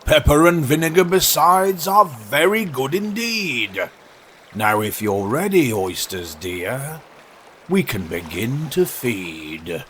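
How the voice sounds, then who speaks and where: A man voicing a pompous, unctuous, falsely sorrowful walrus in a storybook poem, with a slow, grandly drawn-out delivery. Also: mid-range voice, sing-song, a bit bright, a little hiss or hum, voice pushed up. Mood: grand, self-satisfied.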